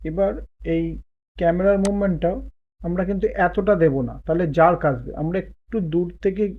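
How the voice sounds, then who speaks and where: A man hosting a step-by-step software tutorial in voice-over. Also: high-pitched, moderate pace, narrow, tinny audio, a little hiss or hum. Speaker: tutorial host.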